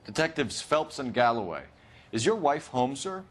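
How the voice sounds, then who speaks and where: An actor playing a formal, fair-minded homicide detective in a video game, clipped and courteous. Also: male voice, medium pitch, very animated, normal speed. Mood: grave, polite. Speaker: police detective. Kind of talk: video game cutscene acting.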